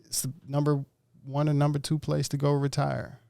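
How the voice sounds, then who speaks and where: A man from Mississippi talking in a casual chat, halting and searching for his words. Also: fairly high pitch, completely flat delivery, creaky, dark tone, no room sound, studio quality. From Mississippi, USA.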